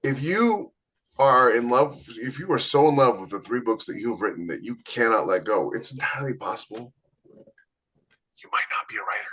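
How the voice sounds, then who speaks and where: A man's voice: medium pitch, lively intonation, moderate pace, bright, forceful tone, slightly rough. A man co-hosting a writing podcast, blunt and opinionated in casual chat. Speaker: podcast co-host, author.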